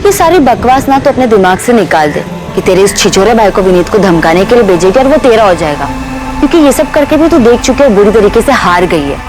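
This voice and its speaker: A woman's voice: mid-range voice, big pitch swings, brisk, close to an everyday voice, rough recording. Actress as a jealous, scornful young woman. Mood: possessive, taunting. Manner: taunting, possessive. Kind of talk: Hindi TV crime drama acting.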